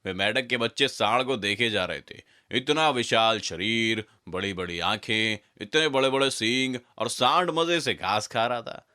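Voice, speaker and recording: A man's voice: mid-range voice, a little slow, hardly any pauses, bright, forceful tone. A man narrating a scripted children's fable as a storyteller.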